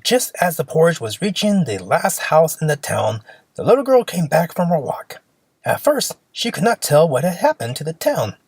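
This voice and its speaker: An expressive storyteller reads a simple fairy tale for children. The voice is husky and creaky, moving up and down a great deal in a dry, close space. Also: medium pitch, normal speed, no room sound.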